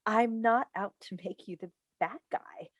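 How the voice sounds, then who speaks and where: A woman's voice: mid-range voice, a little slow, no echo, natural voice. A woman in a staged play reading, playing a seasoned, composed talk show host and mother who tries to placate people. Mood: placating, professional. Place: indoors on a Zoom call. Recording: dry video call recording.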